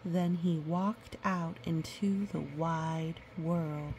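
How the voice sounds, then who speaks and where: Slow, creaky-voiced woman reading a storybook. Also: low voice, steady tone, a little hiss or hum. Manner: gentle, nurturing. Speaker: storyteller reading a picture book aloud. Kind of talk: storybook read-aloud with chatty asides to children.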